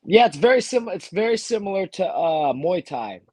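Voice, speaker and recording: A fighter from Texas, talking casually as an interview guest while nursing a cold, with many fillers and restarts. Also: male voice, high-pitched, very animated, normal speed, no room sound. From Texas, USA. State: has a cold. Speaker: bare-knuckle fighter, guest.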